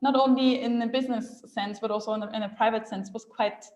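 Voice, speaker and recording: A young woman from Austria talks in a relaxed, chatty way, laughing often and filling gaps with "um" and "like". Her voice sits a little high. The room around her echoes noticeably. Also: quick pace. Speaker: guest speaker. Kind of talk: casual chat.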